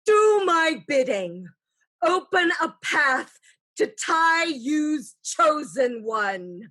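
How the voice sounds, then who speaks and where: A woman's voice: sits high, very animated, very slow, bright, forceful tone, exaggerated voice, low-quality recording. A woman playing a villainous, imperious sorceress in a staged play reading, with a grandiose, incantatory delivery. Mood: furious, commanding. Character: villainous imperious sorceress commanding spirits and dark magic.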